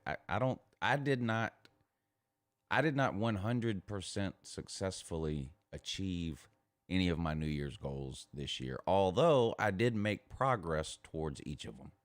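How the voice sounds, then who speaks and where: Deep-voiced Southern man talking sports. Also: expressive, unhurried, no echo. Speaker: morning show host. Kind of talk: casual sports banter.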